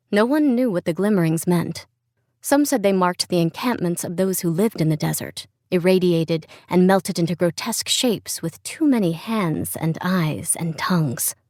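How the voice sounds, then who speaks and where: A woman reading scripted literary fiction as an audiobook narrator, with measured, expressive storytelling. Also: fairly low pitch, dry recording, clean studio sound. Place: dry studio.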